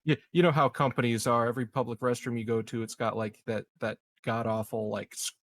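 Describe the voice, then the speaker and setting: A man playing a tabletop roleplaying game, improvising casual banter in a halting way. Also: medium pitch, little pitch movement.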